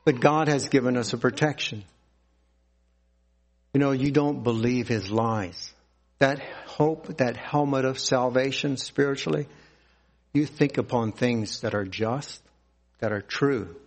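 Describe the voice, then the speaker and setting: Slow, deliberate male preacher. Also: medium pitch. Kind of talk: sermon.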